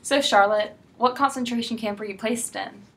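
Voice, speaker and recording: A woman's voice: fairly high pitch, moderate pace. A young woman acting as host of a student mock talk show, asking scripted questions in a plain, casual way. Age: young adult. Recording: distant mic, faint noise.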